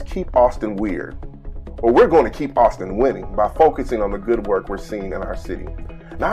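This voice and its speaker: A man's voice: mid-range voice, a little mellow. A man from Texas, a podcast host, giving an upbeat, motivational scripted introduction.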